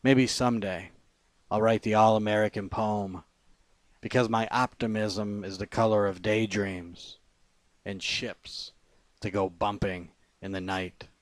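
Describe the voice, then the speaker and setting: Low-voiced man reading poetry, close and dry. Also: fairly even intonation, unhurried, no echo. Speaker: poet. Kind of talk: spoken-word poetry reading.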